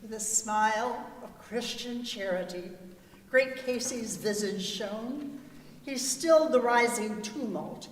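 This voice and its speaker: Female reader, highish and measured. Dramatic verse, heard from a distance in a reverberant hall. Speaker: readers theater performer. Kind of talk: dramatic poetry recitation. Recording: echoey hall, distant mic, faint noise.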